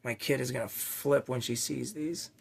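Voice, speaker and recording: A man with a slightly raspy voice hosting a casual, unscripted unboxing vlog for toy collectors, rambling along with slangy, enthusiastic asides. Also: medium pitch, normal speed. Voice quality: a little raspy. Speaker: toy collecting channel host.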